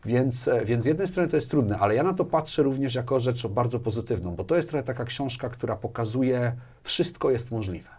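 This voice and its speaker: A man's voice: medium pitch, lively intonation, a little fast, hardly any pauses, darkish tone. A man in his forties talking conversationally as an interview guest, thinking aloud with hesitations and repeated words. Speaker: interview guest, business manager. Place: small furnished indoor studio. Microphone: lapel mic.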